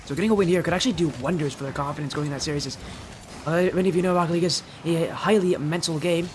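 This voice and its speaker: A teenage boy with a high-pitched, youthful voice, chatting with his live stream audience and casting a video game match, loud and excited on goals. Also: rapid-fire, faint music behind. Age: teens. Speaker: esports caster. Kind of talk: live stream chat and play-by-play commentary.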